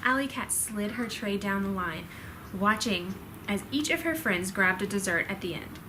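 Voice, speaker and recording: A woman's voice: medium pitch, animated, normal speed, noisy background. A woman storyteller reading a children's storybook expressively to her child.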